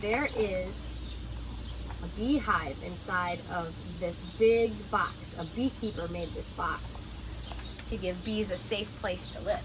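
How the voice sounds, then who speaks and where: A woman reading a children's picture book aloud like a teacher, with expressive, instructive delivery. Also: on the low side, slow, noisy background. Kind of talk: children's storybook read-aloud with explanatory asides.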